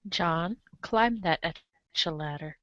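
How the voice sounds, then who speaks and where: A woman's voice: fairly low pitch, takes it slowly, a bit bright, no room sound. A woman narrating a scripted reader's theater show in the second person, with a theatrical, wry delivery. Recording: dry, dull lo-fi recording.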